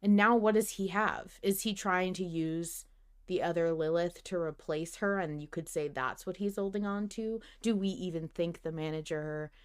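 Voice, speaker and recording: A woman's voice: fairly low pitch, normal speed, clean tone. A woman hosting a podcast, talking casually about a story with her co-host. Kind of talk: casual discussion of a fiction podcast.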